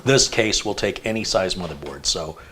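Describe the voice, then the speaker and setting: A man hosting a live stream, chatting casually and thinking aloud with relaxed fillers. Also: deep voice, normal speed, slight background noise. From United States. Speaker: show host.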